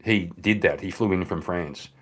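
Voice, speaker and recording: A man speaking as a guest in a casual panel discussion, measured and hesitant with frequent ums. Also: low voice, a bit bright.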